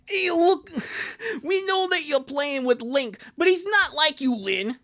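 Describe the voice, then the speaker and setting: Streamer putting on a loud, high, scolding cartoon girl. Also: expressive, unhurried, gravelly, exaggerated voice. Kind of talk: live comic dub of a fan comic.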